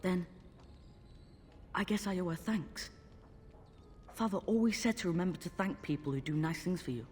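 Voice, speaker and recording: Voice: mid-range voice, expressive, moderate pace, long pauses, a little mellow, a little hiss or hum, close to an everyday voice. An actor playing a polite, worried young boy of noble birth in a fantasy video game. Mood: grateful, worried. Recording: long echoing reverb, music behind. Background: game music.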